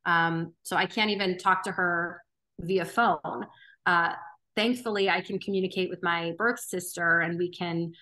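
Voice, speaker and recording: Bright-toned woman, thoughtful podcast guest. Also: lowish voice, fairly even intonation, a little slow, rough recording. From Romania. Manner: earnest, thoughtful, frequent ums and restarts. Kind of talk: reflective interview conversation.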